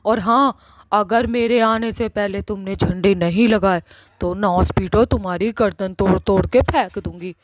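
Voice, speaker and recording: A woman's voice: mid-range voice, measured pace, no room sound, natural voice. A woman voicing an angry, bossy witch in a comic horror cartoon, scolding emphatically and repeating words. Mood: threatening. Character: angry, foul-mouthed village witch, bossy and threatening. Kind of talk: Hindi cartoon horror-comedy acting.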